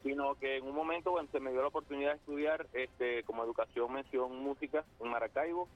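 A man's voice: medium pitch, steady tone, fast. A man with a Zulian Venezuelan accent, a guest on a phone interview who talks hesitantly with many fillers. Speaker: guest muralist. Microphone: phone line. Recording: muffled phone line.

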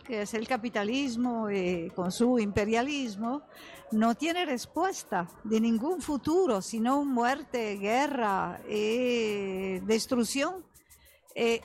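An older woman from Italy speaking Spanish as a second language with an Italian accent, halting as she searches for words in a radio interview. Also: on the high side. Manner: halting non-native phrasing, searching for words. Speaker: interview guest, novelist. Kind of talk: political conversation in a radio interview. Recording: music under the voice.

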